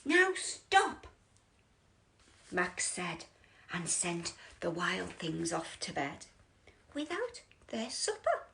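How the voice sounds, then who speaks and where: A woman reading a children's picture book as a bedtime storyteller, theatrical and drawn out, often dropping into breathy whispers. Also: lowish voice, wildly swooping pitch, slow, a bit bright, slight background noise.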